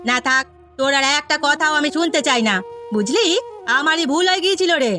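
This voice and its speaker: Woman playing a sharp, scolding village housewife. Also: high voice, lively intonation, fairly quick, faint music behind. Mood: stern. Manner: sharp, imperious. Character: stern, scolding middle-aged village housewife employer. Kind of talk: Bengali cartoon story voice acting.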